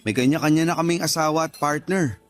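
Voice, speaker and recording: A man's voice: a little high, fast talker, soft background music, close to an everyday voice. A man with a Filipino accent playing a teasing, nosy friend in his thirties in a radio drama. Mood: prodding concern. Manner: casual, prodding.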